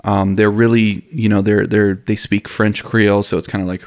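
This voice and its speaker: A young man from Miami co-hosts a relaxed podcast chat. His voice sits fairly low, and he talks easily, dropping dude and you know into almost every thought and laughing often. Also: moderate pace. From Miami, family from Honduras. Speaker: podcast co-host. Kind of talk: casual chat.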